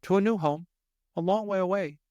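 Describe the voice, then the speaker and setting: A wistful mother mouse from a children's storybook, voiced by a man, pitched high. She answers gently and patiently, in a close, dry recording. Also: a little fast, no echo, dull audio, close to an everyday voice.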